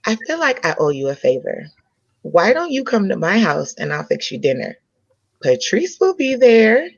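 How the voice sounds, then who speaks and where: A woman's voice: mid-range voice, huge pitch swings, normal speed, creaky, no room sound, heavily acted. A woman in a comedy table read playing a forthright, good-natured sweetheart, talking directly and conversationally, on dull audio. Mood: friendly, inviting. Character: forthright, good-natured young woman courting a shy man.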